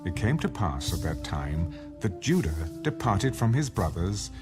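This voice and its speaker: A man's voice: lowish voice, very animated, a little slow, music in the background. A man performing as a solemn narrator in a dramatized audio Bible, reading scripted scripture. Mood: solemn narration.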